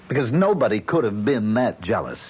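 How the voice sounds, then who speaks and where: A man's voice: fairly low pitch, big pitch swings, a little fast, breathy edge, heavily acted. An actor in a vintage radio drama playing a haunted man, exasperated and defensive, with sardonic, indignant protests. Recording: muffled old radio recording.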